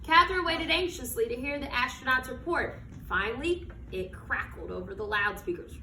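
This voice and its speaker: A high-voiced woman reads a children's story aloud in a very lively, expressive way. She phrases things dramatically and asks her listeners questions. The sound is roomy and distant from the mic, with faint noise. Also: normal speed. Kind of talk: expressive children's picture book read-aloud.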